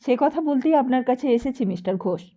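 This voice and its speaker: A woman voicing a smooth-talking, meddling gentleman who moralises and flatters in an audiobook. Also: high voice, fairly quick. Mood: ingratiating. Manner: ingratiating, moralising.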